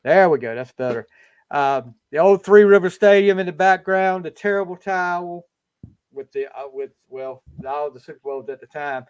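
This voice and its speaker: A fifty-year-old man hosts a casual podcast from home over a video call. His voice sits a little high, and he rambles, restarting his sentences again and again. The sound is close and dry. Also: normal speed, clear, resonant tone, no echo, muffled recording. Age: 50s. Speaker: podcast host. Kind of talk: casual chat.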